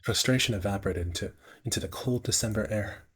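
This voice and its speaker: A man live streaming, reading visual novel narration aloud in a halting way, often stumbling and repeating phrases. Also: on the low side, a bit bright, slightly rough.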